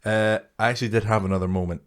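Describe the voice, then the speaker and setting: A man co-hosts a laid-back podcast, wandering through his stories in a somewhat low voice. He pokes fun at himself and laughs easily along the way. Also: fairly even intonation, very fast. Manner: rambling, self-deprecating, laughs easily. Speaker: podcast co-host. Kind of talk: casual chat.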